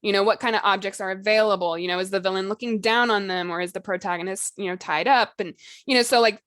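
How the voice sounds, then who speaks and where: A woman's voice: medium pitch, steady tone, fast talker, a bit bright, no room sound, studio quality. A woman co-hosting a podcast, explaining writing tips in an informal, hedging way with lots of "like" and "you know". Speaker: podcast co-host. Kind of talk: casual instructional chat about fiction writing.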